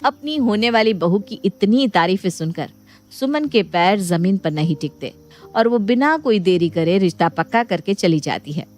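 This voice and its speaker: A woman's voice: mid-range voice, expressive, moderate pace, a little mellow. A woman storyteller reading scripted narration for a Hindi moral bedtime story. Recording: music bed behind the voice.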